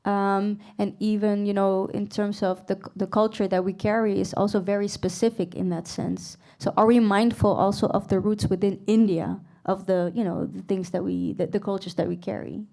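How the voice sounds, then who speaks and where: A woman's voice: medium pitch, fairly even intonation, moderate pace, vocal fry, hardly any pauses, dark tone. Woman panelist, from the Netherlands, thinking aloud, frequent fillers, live stage talk. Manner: reflective, thinks aloud with many fillers and laughs. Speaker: panelist and singer. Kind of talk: panel discussion. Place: event venue stage.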